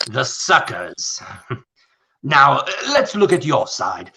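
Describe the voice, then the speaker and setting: Gravelly, scheming old tycoon. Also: male voice, mid-range voice, very animated, bright, forceful tone, a little hiss or hum, full character voice. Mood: smug, persuasive. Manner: theatrical, deliberate, condescending. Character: wealthy scheming old male tycoon, hated, condescending. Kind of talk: radio play acting.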